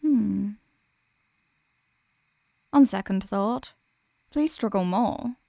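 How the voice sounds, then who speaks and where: A woman's voice: medium pitch, extremely slow, vocal fry, warm tone, no room sound, heavily acted. A woman playing a teasing sleep paralysis demon who can be menacing or apologetic and tender, in an intimate ASMR audio roleplay. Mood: slow menace. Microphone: close microphone, dry.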